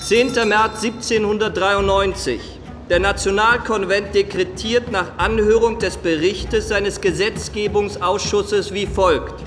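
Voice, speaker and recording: An actor playing an official who proclaims a decree in a radio play, formal, measured and declamatory. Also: male voice, sits high, fairly even intonation, a bit bright, faint music behind. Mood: solemn, official. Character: official male reader proclaiming a government decree. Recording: long hall reverb, faint noise.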